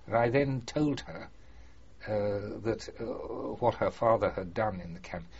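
An older man being interviewed about his past, speaking formally and hesitantly with many pauses and fillers. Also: on the low side, husky. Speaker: former prisoner of war, interviewee. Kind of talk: oral history interview. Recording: muffled archive recording with faint hiss.

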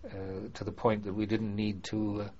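An older man being interviewed about his past, speaking formally and hesitantly with many pauses and fillers. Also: on the low side, little pitch movement, warm tone. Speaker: former prisoner of war, interviewee. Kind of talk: oral history interview. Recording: muffled archive recording with faint hiss.

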